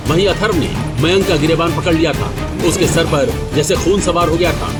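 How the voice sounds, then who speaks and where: A man's voice: a little high, fairly quick. A man narrating a scripted audio story as a dramatic storyteller. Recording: loud music behind.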